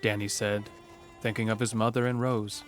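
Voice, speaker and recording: A man's voice: lowish voice, flat delivery, normal speed, faint music behind. A man narrating a scripted western horror story for an audio drama.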